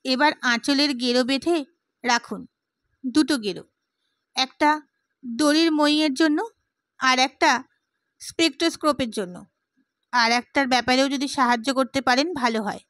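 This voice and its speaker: A woman plays a fervent, idealistic gentleman in an audiobook novel. The voice is high and emphatic, talkative and oratorical, recorded dry. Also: moderate pace, long pauses, dry recording. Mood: amused, confiding. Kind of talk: dramatised audiobook character.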